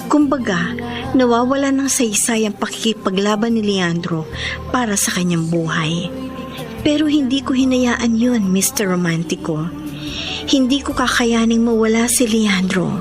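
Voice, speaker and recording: A woman's voice: a little high, expressive, measured pace, music in the background. An actress with a Filipino accent playing a lovelorn woman, earnestly and sorrowfully narrating her letter for a radio drama. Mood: wistful sorrow. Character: lovelorn woman confessing secret love in a letter.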